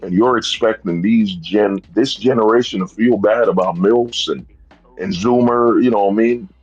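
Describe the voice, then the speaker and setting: A man's voice: fairly low pitch, normal speed, darkish tone, breathy edge, muffled recording, faint music behind. A man in his thirties hosting a livestream, talking in a rambling, casual rant and dropping "bro" and "you know what I'm saying" often. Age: 30s. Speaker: streamer host.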